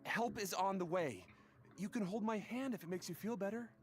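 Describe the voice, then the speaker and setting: A woman voicing a gentle reassuring rescuer. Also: mid-range voice, moderate pace, slight background noise, close to an everyday voice. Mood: soothing. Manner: soothing, reassuring.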